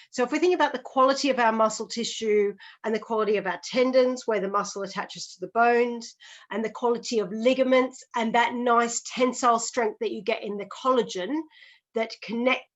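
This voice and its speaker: Middle-aged Australian woman, higher-pitched, measured expert explaining clearly. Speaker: physiotherapist guest. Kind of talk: explanatory interview talk.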